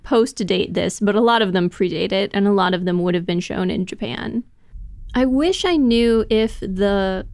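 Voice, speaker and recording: A woman's voice: medium pitch, a little mellow, no room sound, studio quality. A woman co-hosting a podcast, giving informative commentary in casual chat with light laughs.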